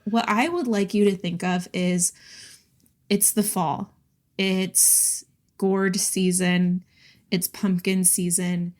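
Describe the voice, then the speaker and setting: A woman co-hosting a podcast, chatting about books in an informal, animated way full of "like". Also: mid-range voice, slow. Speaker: podcast co-host. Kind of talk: casual book discussion chat.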